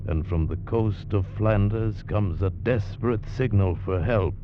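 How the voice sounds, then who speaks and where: A man's voice: lowish voice, measured pace, background noise. A man reading a dramatic 1940s radio teaser in a grave, portentous manner. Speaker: radio announcer reading next week's teaser. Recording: muffled old radio recording with music.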